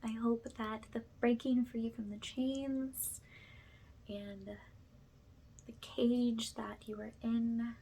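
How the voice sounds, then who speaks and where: A woman with a soft, breathy voice that often drops to a whisper, gently leading a soothing spiritual ASMR session in a reassuring way. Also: fairly high pitch, little pitch movement, takes it slowly. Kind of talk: ASMR, soothing guided energy cleanse.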